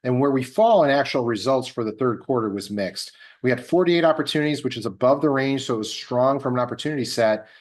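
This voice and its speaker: A man hosting a market update, presenting data in a measured, analyst-like business delivery that stresses the figures. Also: medium pitch, expressive. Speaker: host.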